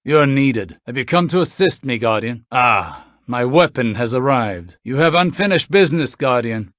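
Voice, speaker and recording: A man's voice: medium pitch, animated, a bit bright, no echo, natural voice. Man acting a composed, formal mentor, dry sound. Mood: stern, preoccupied. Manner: measured, formal. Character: composed, scholarly, authoritative mentor of guardians. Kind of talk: scripted game character barks.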